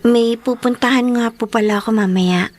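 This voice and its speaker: A woman with a Filipino accent acting a devoted, dutiful teenage daughter in a Tagalog radio drama, respectful and soft-hearted in manner. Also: fairly high pitch, fairly even intonation, moderate pace, natural voice. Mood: tender pleading.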